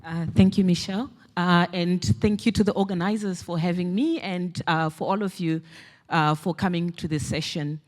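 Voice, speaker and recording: A woman from Zimbabwe giving a conference talk in a measured way, with frequent fillers. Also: fairly low pitch, fairly even intonation. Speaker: conference speaker.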